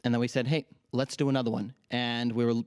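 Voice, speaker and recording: A man's voice: medium pitch, level tone, fast talker, darkish tone, clean tone, no echo, studio quality. A man from Ukraine, a native Russian speaker, talking enthusiastically as an opera company's artistic director in a TV interview. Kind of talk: TV interview chat.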